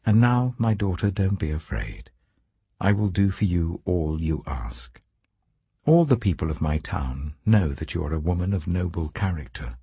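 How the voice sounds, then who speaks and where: A dignified landowner of ancient times as a man acts him out in a scripture audiobook. He speaks with gracious, measured authority in a very husky, low voice. The sound is dry and close. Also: very animated, mellow, no echo, heavily acted. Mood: tender, reassuring.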